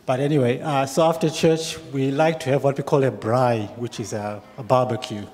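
A man from Zimbabwe, relaxed and a little hesitant, interviewed on stage with a handheld mic in a church. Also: mid-range voice, moderate pace. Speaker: church member. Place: large church hall. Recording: reverberant church hall.

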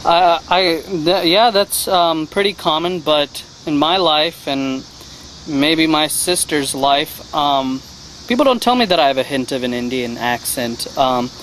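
Slow halting young man outdoors. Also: a little high, a bit bright, a little hiss or hum, poor audio. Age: young adult. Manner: slow, halting, many fillers. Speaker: interviewee. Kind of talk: casual interview. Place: outdoors against a garage door. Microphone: handheld mic held by interviewer.

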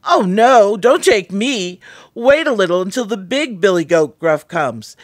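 Middle billy goat, acted by a woman, storybook, anxious, emphatic, animated pitch. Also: unhurried, no echo, clean studio sound. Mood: pleading. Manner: emphatic, pleading. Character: second, middle-sized male billy goat, anxious, bargaining. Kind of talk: storybook character voice.

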